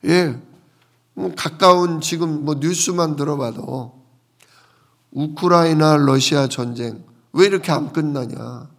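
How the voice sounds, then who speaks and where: A man preaching a conversational Bible sermon as a pastor, explaining things with rhetorical questions in a gravelly voice. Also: fairly high pitch, slow pace. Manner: explanatory, asks the congregation rhetorical questions and answers them.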